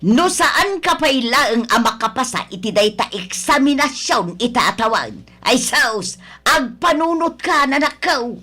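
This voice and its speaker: A woman's voice: high-pitched, very animated, unhurried, bright, forceful tone, full character voice. A woman playing a nagging, disapproving older mother of a grown son in an Ilocano radio drama, scolding and complaining. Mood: scolding, exasperated.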